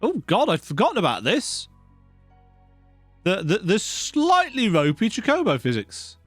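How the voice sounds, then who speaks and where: A man hosts a let's play in a chatty, self-deprecating way. His voice is on the higher side and swings around with lots of animation. Game music plays behind his casual commentary. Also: wildly swooping pitch, measured pace, no echo. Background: video game music.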